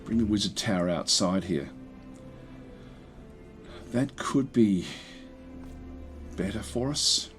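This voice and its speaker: Croaky low-voiced man commentating a strategy game. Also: lively intonation, slow pace, pauses often, soft background music. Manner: thinking aloud, many fillers and restarts. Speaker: gameplay commentator.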